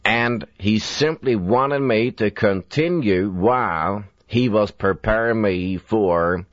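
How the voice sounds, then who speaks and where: Older preacher, slow and earnest, kinda muffled dry old tape sound. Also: male voice, lowish voice, no echo. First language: Swedish. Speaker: evangelist preacher. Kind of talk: recorded sermon. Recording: dull lo-fi dry recording.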